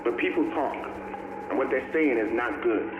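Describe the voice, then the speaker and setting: An actor playing a cynical, streetwise survivor of a collapsed city in a game audio log, talking grimly and colloquially. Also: male voice, mid-range voice, lively intonation, normal speed, lightly acted. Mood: weary, wary. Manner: colloquial, grim, world-weary. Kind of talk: dramatic monologue in a video game audio log. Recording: tinny, echoey, noisy recorded audio log.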